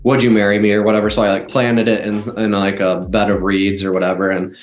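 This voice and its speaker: A man's voice: fairly low pitch, flat delivery, fast, echoey room, lo-fi sound. A man speaking as an interview guest in a casual, rambling way, full of "like" fillers. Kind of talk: casual conversation.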